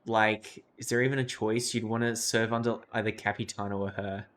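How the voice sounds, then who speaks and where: A man's voice: on the low side, little pitch movement, a little fast, a bit bright. A young man chatting casually and slangily as he reacts to a game on a livestream. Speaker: game streamer. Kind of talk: casual gaming reaction chat.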